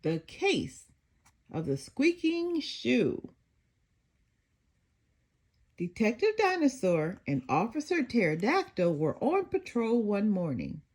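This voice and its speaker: A woman reading a children's story aloud in an animated, deliberate and expressive narration. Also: fairly high pitch.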